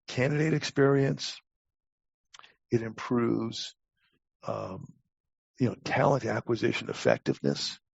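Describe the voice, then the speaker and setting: A man's voice: medium pitch, vocal fry, breathy edge, no echo. An older man giving conversational interview answers as a podcast guest, blunt and emphatic, often repeating words as he gathers his thought. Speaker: podcast guest, talent acquisition advisor. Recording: dull, muffled lo-fi audio.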